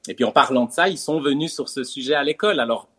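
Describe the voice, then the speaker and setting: A man's voice: mid-range voice, quick pace, fairly bright tone, breathy edge. Hesitant man in casual talk. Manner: hesitant, many fillers and repeated words. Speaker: comedian and theatre teacher. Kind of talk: casual conversational interview.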